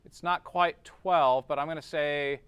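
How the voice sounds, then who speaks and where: Clear-voiced male teacher, close dry sound. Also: a little high, fairly even intonation, unhurried, a bit bright, dry recording. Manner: informal, checks understanding with short tag questions. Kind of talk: classroom lesson, explaining step by step.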